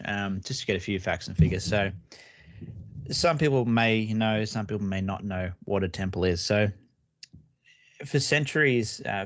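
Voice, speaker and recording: A man's voice: fairly low pitch, dry recording. A young man co-hosting a casual podcast, explaining at length with fillers and restarts. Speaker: podcast co-host.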